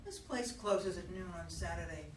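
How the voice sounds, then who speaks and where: A sharp-tongued, wounded jilted fiancée played by a woman in a dramatic play reading. She is blunt and challenging. The recording is echoey and distant, with faint noise. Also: fairly low pitch, normal speed, lightly acted. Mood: hurt, bitter.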